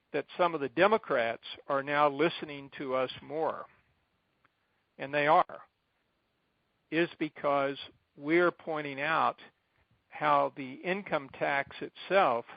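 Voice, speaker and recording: Middle-aged American male, advocacy leader on a muffled conference call, creaky voice, deliberate and emphatic phrasing. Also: on the high side, dry recording. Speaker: advocacy group president addressing supporters. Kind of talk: informal briefing on a conference call. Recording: dull, band-limited conference call line.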